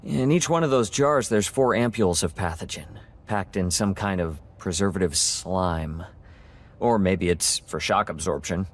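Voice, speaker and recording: An actor playing a curious, rambling scientist in a video game, explaining things in a hesitant, musing way. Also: male voice, sits low, normal speed. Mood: explanatory. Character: male scientist doctor, curious, rambling, awed by alien technology. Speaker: scientist briefing a military team.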